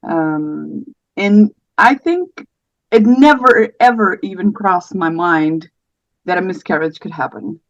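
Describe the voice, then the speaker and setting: Casting: Portuguese-accented woman, late thirties, halting and gentle, telling her story; dry, dull lo-fi sound. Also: fairly low pitch, expressive, dry recording. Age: 30s. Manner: soft-spoken, hesitant, many fillers. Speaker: podcast guest. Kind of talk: personal story in conversation.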